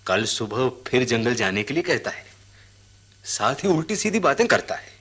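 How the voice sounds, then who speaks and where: A poor, simple elephant-catcher in a radio play, pours out his troubles. His voice is husky and lively in pitch. He is plaintive and halting, circling back over his phrases. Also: full character voice. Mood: troubled, restless. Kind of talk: radio drama acting.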